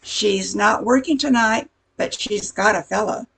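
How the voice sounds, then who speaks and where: A woman's voice: medium pitch, measured pace, creaky, a bit bright, slightly rough, full character voice, rough recording. A woman playing a brash, touchy diner waitress in a radio comedy play, tough-talking with slangy grammar. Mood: brash, indignant.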